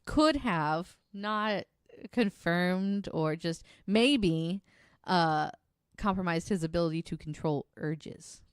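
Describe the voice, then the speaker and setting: A woman's voice: lowish voice, slow, clean tone, dry recording, studio quality. A woman co-hosting a podcast, telling true-crime stories in a casual, amused, informal and sweary way. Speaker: podcast co-host.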